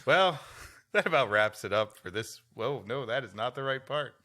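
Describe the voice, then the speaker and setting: A man's voice: mid-range voice, animated, moderate pace, sharp tone. A man co-hosting a comedic livestream podcast, chatting casually with playful mock enthusiasm. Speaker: podcast co-host.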